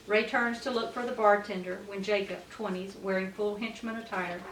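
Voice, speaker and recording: A woman's voice: mid-range voice, steady tone, normal speed, a bit bright. A woman reading out stage directions as the narrator of a scripted table read. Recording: echoey room, distant mic, faint noise.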